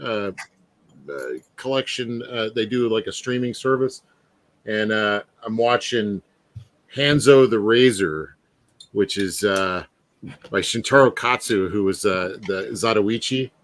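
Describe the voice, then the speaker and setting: A man's voice: on the low side, measured pace, a bit bright, no room sound. A man co-hosting a casual chat, joking around and rambling with lots of filler words. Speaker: co-host. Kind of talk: casual chat on a streamed art show.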